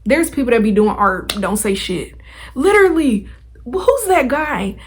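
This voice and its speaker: A woman's voice: on the high side, sing-song, a little slow, a little hiss or hum. A woman hosting a podcast, giving a casual motivational talk straight to listeners in an informal, slangy, emphatic way. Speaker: podcast host.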